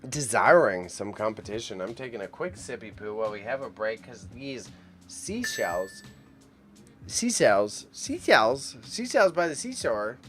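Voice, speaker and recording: A male streamer gives casual, rambling live commentary to his chat in a mid-pitched voice. He is excitable and talkative, with a slight speech impediment on sh and ch sounds. Music plays along with him. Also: unhurried. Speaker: livestream host reacting to an online auction.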